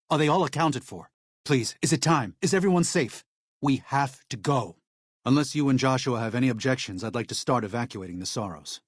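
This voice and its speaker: An earnest, gentle missionary, dry sound. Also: male voice, medium pitch, slightly rough, no room sound. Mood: anxious pleading. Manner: earnest, soft-spoken, hesitant pleading. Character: gentle, earnest male missionary, devout and worried for his flock. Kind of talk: video game character dialogue.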